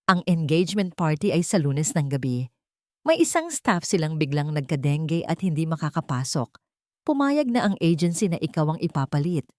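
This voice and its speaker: A woman's voice: low-pitched, lively intonation, moderate pace, mellow, no room sound, studio quality. Woman playing an earnest, loyal elderly housekeeper. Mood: conspiring. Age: older. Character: loyal elderly housekeeper, grieving, fiercely resolute.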